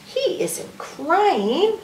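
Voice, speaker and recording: A woman voicing a gentle, patient mother animal in a storybook, soothing and explaining things to her young one. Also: extremely high pitch, sing-song, drawn-out words, slight background noise, voice pushed up, exaggerated voice. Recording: roomy echo, distant microphone.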